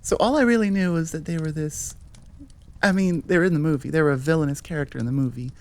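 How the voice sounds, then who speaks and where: A woman's voice: sits low, quick pace, a little mellow. An American woman from Nevada chatting informally as an audio creator in a rambling, self-correcting outro. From Nevada, United States. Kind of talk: casual rambling outro chat.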